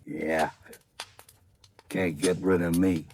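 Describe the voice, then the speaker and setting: An actor playing a gravely wounded small-time crook in a 1950s radio drama, speaking weakly and haltingly, loyal and wary. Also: male voice, deep voice, steady tone, a little mellow. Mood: weak, wry. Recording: noisy old radio recording with clicks.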